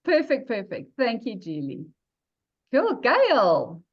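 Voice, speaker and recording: A woman's voice: fairly high pitch, huge pitch swings, takes it slowly, dull audio. A woman coaching a group on a video call, upbeat and encouraging as she calls on each member.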